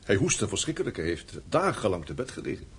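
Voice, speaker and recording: Curious gentleman, extremely lively intonation, sociable, radio play, slight background noise. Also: fairly low pitch, fast.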